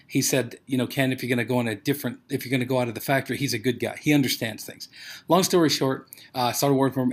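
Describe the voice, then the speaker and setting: An American man presenting in a casual motivational sales talk, with a conversational, rambling storytelling manner. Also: medium pitch. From United States. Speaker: presenter of a company overview.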